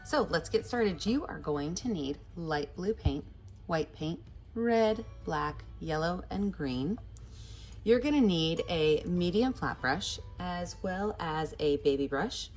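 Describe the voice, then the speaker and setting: A woman's voice: fairly low pitch, expressive, slow pace. A woman art teacher hosting an instructional step-by-step tutorial, addressing viewers in a friendly, direct way. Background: background music.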